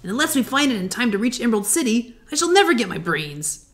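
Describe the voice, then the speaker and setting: Woman voicing a fretful, quick-talking scarecrow. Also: a little high. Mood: grumbling. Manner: grumbling, excitable. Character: fretful, eager male scarecrow.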